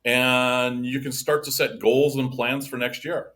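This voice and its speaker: Bright-voiced man, halting casual chat. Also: medium pitch, little pitch movement. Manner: halting, many restarts and repeated words. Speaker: insurance agent co-host.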